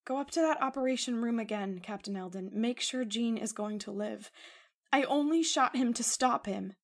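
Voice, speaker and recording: A woman voicing a frightened young woman in an old-style radio horror play, higher-voiced and breathless. She pleads and recounts a terror. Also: moderate pace, natural voice. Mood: pleading. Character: shaken young woman, Miss Fallon. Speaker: voice actor. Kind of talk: old-time radio drama acting.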